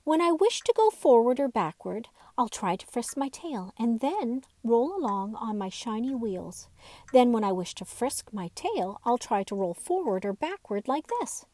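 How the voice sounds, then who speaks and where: A woman voicing an eager young male wooden toy horse in a storybook reading, talking high and full of wonder. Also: big pitch swings, unhurried, dark tone, no room sound. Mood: pleased.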